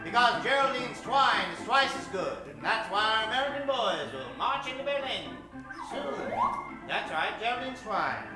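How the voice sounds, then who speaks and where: A man's voice: sits high, lively intonation, moderate pace. A man playing a theatrical, melodramatic announcer and narrator in a 1940s-style radio serial. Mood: hard-selling. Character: excitable old-time radio announcer narrator. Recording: very echoey stage, distant mic, music behind.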